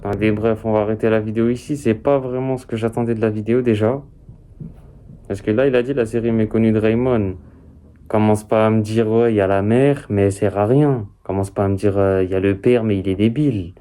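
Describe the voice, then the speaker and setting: Low, mellow young man reacting casually. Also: steady tone, a little fast, faint music behind. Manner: slangy, repetitive, rambling. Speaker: reaction video host. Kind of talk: casual unscripted reaction commentary.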